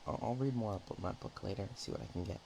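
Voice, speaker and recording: A man playing a naive, nervous, affectionate dragon in a roleplay ASMR, talking in a hesitant, rambling, halting way. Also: fairly low pitch, creaky, warm tone, slight background noise. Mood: shy, nervous.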